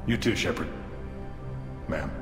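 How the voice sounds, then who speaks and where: An actor playing a loyal alien soldier in a video game, dryly respectful and laconic. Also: male voice, rumbling low, animated, takes it slowly, heavily acted. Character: dry, loyal, deferential male alien soldier. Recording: echoey hall, music behind.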